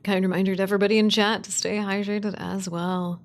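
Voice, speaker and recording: Female livestreamer, mid pitch with a creaky edge, playful and self-deprecating, casual chat while working. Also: little pitch movement, fairly quick. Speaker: streamer, writer and voice actor.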